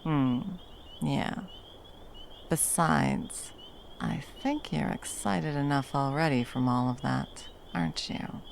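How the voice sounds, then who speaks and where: A woman playing a battle-hardened, fiercely protective monster hunter in an intimate ASMR roleplay, speaking to a lover with dry, tender menace and a gravelly voice. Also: low-pitched, a little hiss or hum, heavily acted. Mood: tender, reassuring. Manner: menacing yet tender, slow deliberate storytelling with dry understatement.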